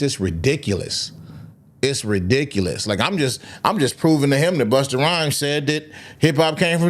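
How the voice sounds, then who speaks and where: A man hosting a live online discussion, arguing back casually and repeating his phrases for emphasis. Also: mid-range voice. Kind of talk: casual debate, reacting to live comments.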